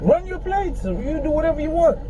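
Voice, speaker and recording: A man's voice: extremely high pitch, very animated, fairly quick, muffled recording, a crowd in the background. A man sitting in his parked car filming on his phone, arguing in an incredulous, confrontational way. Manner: argumentative, incredulous. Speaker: rideshare driver filming an encounter. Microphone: phone camera.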